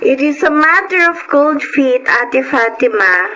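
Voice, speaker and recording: A woman's voice: sits high, expressive, slow, bright, forceful tone, slight background noise, full character voice, rough recording. A woman playing a part in a Filipino radio family drama. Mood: teasing.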